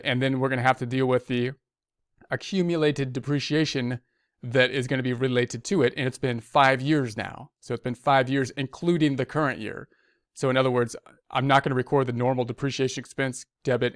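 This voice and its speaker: A man's voice: mid-range voice, clean tone, no room sound, clean studio sound. A man giving an informal tutorial, talking through each step conversationally and repeating himself as he goes. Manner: conversational, repetitive, talks through steps as self-directed speech. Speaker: accounting instructor. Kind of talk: informal spoken tutorial walkthrough.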